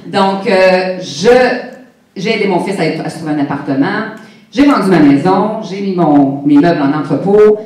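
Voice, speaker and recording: A woman's voice: on the low side, measured pace, darkish tone. A woman in her fifties from Quebec, with a Québécois French accent, telling her own story on stage in a candid, humorous way and speaking straight to the audience. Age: 50s. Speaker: conference speaker. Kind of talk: motivational personal story talk. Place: large hall with an audience. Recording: echoey hall, voice from a distance.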